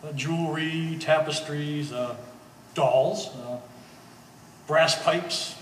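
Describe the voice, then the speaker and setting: A man's voice: on the high side, slow. A man playing an easygoing old family friend in a stage play, with a wry, hesitant, joking manner. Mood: explaining, casual. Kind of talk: one-act stage play performance. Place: gallery stage performance, indoors. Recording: echoey hall, distant mic, faint noise.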